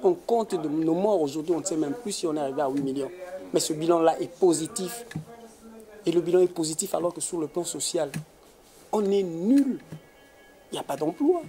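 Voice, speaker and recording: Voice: medium pitch, a little fast, warm tone. Indignant guest. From DR Congo. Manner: indignant, emphatic, many hesitations. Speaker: political commentator guest. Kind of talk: interview answers.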